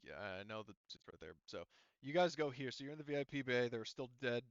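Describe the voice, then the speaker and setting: A man's voice: medium pitch, lively intonation, fast talker, dry recording, dull audio. A man running a tabletop roleplaying game as game master, talking casually and thinking aloud as he narrates and explains rules.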